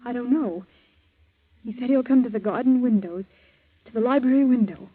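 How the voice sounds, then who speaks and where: Anxious, resolute young heroine played by an actress, 1940s radio thriller. Slightly high, breathy, earnest; narrow vintage recording. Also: female voice, normal speed, close to an everyday voice. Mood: tense, resolute. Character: anxious but determined teenage girl in a mystery. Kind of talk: 1940s radio drama acting.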